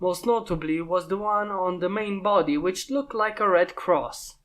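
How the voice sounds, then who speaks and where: A woman's voice: fairly low pitch, moderate pace. A woman steadily narrating a fan story aloud. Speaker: fan fiction reader.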